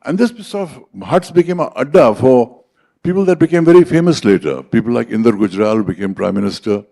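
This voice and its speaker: A man's voice: medium pitch, brisk, vocal fry, dark tone. An older man with an Indian English accent, telling stories about his life as a conference speaker. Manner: anecdotal, switches into Hindi for quoted dialogue. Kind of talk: personal storytelling.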